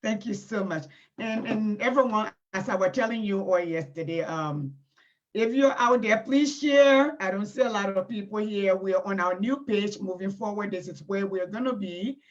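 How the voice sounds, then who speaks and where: A middle-aged woman with a Liberian accent hosting an informal live online talk, often halting as she searches for words. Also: mid-range voice. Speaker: talk show host. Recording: dull, lo-fi internet stream audio.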